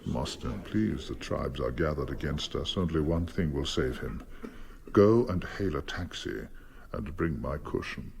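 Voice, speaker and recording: An actor playing a formidable English defence barrister in a radio drama, commanding, deliberate and rhetorical. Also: male voice, extremely low pitch, expressive, gravelly, darkish tone, slight background noise, heavily acted. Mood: grave.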